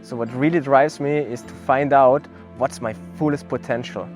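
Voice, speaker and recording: A young man from Austria, giving a network marketing testimonial in an earnest, reflective way, pausing often with fillers and restarts. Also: mid-range voice, fairly even intonation, darkish tone, faint music behind. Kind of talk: promotional testimonial interview.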